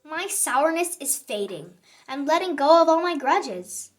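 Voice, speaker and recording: A woman's voice: high-pitched, sing-song, soft background music. A woman voicing a dramatic little sour grape who tells its own story in a children's picture book read-aloud, with theatrical emphasis. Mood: reflective. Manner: theatrical, exclaiming, drawn-out emphasis on words.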